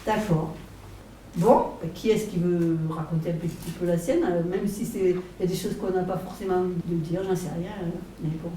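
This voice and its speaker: A woman's voice: lowish voice, steady tone, fairly quick, dark tone, far from the mic. A woman teacher guiding an informal group discussion, prompting people and confirming what they say. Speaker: language class teacher leading a group discussion. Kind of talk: informal guided class discussion. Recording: echoey room, off mic, faint noise.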